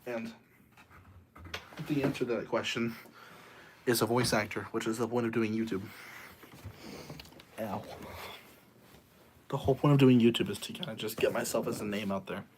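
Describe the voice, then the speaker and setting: A seventeen-year-old young man chatting casually on a livestream, speaking in a monotonous voice and rambling with lots of fillers and repeats. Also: lowish voice, moderate pace, pauses often, slight background noise.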